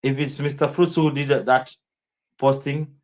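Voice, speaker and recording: A man's voice: mid-range voice, steady tone, unhurried, a little mellow. A man with a Kenyan accent streaming live, rambling in casual commentary and answering viewers' chat comments by name.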